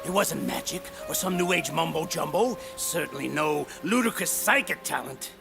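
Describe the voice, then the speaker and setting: An actor playing a sneering old villain in a video game, gloating with theatrical, mocking, drawn-out delivery and a gravelly voice. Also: male voice, on the high side, animated, music in the background. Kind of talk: dramatic video game voice acting.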